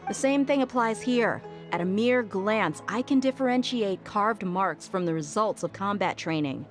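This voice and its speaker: An actress plays a disciplined young swordswoman in a video game. Her medium-pitched voice is assured and easygoing as she explains things, over faint music. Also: expressive, moderate pace, lightly acted. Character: confident, disciplined young female mercenary swordfighter.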